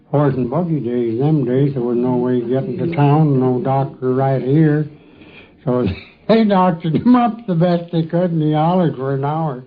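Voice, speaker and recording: An older man, reminiscing in a folksy, plain-spoken way on a 1950s recording. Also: mid-range voice. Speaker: interviewee recalling boyhood. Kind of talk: casual reminiscing. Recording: thin phone-like 1950s radio recording.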